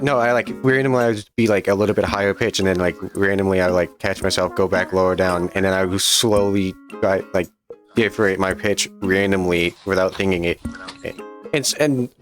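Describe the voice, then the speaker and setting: A man with a slight lisp talking earnestly on a podcast call, in quick bursts full of fillers. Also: low voice, fairly bright tone. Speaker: podcast guest. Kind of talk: casual voice-call chat.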